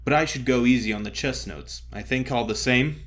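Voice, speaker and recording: A man voicing a clubby theatre star who talks in chummy, old-fashioned slang and gently chides his pal. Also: mid-range voice, moderate pace, close to an everyday voice. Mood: chummy chiding.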